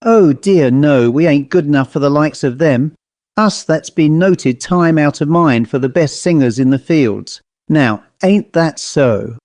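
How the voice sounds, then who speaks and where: A man's voice: a little high, a little slow, darkish tone, no room sound, close to an everyday voice. A man voicing a grumpy, indignant, self-important owl in a story, complaining in rustic, ungrammatical speech. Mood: scornful. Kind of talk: storybook character voice.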